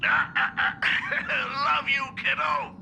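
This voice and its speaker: An actor voicing a doting, excitable middle-aged artist father in a video game, theatrical and effusive. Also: very high-pitched, extremely slow, gravelly, a little hiss or hum, full character voice. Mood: overjoyed. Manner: theatrical, effusive, chuckles often. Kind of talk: animated video game voice acting.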